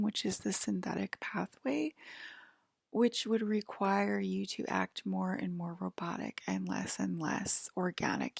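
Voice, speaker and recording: A woman doing an ASMR roleplay in a breathy whisper and soft talk, reassuring the listener like a clinician and often checking in. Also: mid-range voice, no room sound, clean studio sound. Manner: hesitant, reassuring, clinical patter with frequent checking "okay?".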